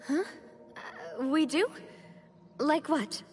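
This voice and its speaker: A woman's voice: sits high, very slow, in a large hall, slight background noise. A woman voicing a polite, thoughtful young lady in a video game, often hesitant and musing. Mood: confused, uneasy.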